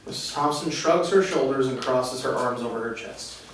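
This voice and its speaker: A man's voice: mid-range voice, normal speed, a bit bright. A man reading stage directions as the narrator of a script table read. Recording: distant mic, echoey room, faint noise.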